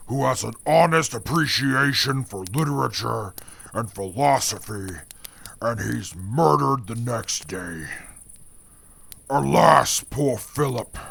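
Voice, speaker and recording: A man's voice: mid-range voice, gravelly, a bit bright, exaggerated voice. An actor playing a stiff, bookish man who talks in a formal, haughty way in a fan audio drama. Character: Atlas, stiff bookish man, cold and aloof.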